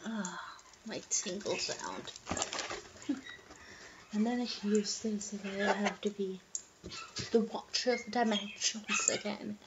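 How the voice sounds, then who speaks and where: Slow, murmuring woman on a lo-fi webcam. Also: medium pitch. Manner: slow, murmuring self-talk with frequent laughs. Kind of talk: in-character roleplay vlog. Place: small room indoors. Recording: dull lo-fi webcam audio with loud noise.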